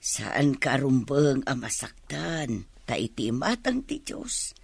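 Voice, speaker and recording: Woman acting a slow, husky village wife. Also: very deep voice, sing-song, dry recording, heavily acted. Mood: consoling. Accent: Ilocano. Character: consoling, pious wife of an old villager. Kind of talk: Ilocano radio comedy drama acting.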